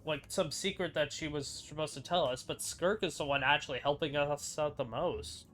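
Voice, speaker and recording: A man reacts casually to a game in an exasperated, complaining way. His voice is fairly high and sharp-edged, with faint game music underneath. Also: normal speed. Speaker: gaming video commentator. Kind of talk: casual gameplay reaction commentary.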